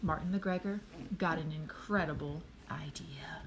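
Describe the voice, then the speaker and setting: A woman reading a children's storybook aloud expressively, pausing to speak to a young listener. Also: sits low, a little hiss or hum. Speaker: storybook reader.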